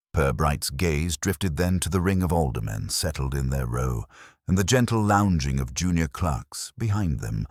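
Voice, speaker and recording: A man narrating a wry mystery story in a literary, measured, dryly ironic manner. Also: extremely low pitch, no room sound, clean studio sound.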